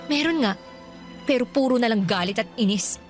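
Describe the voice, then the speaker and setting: An actress voicing a hurt young woman who confronts the man who lied to her, with sharp, reproachful retorts in a radio drama. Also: on the high side, animated, normal speed, faint music behind, natural voice. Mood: bitter, hurt. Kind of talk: Tagalog radio drama acting.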